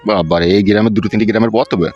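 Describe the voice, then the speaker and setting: A man voicing a gruff, weary older village uncle in a comic cartoon, blunt and rustic in manner. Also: lowish voice, steady tone, racing, a little mellow, muffled recording, faint music behind, natural voice. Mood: gruff, kindly.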